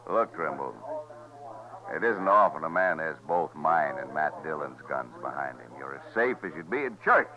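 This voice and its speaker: Deep, confident western gunfighter, muffled recording. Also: male voice, lively intonation, normal speed, a crowd in the background. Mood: assured. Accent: American. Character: confident, easygoing frontier gunfighter. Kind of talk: 1950s radio western acting. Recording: muffled hissy old radio recording.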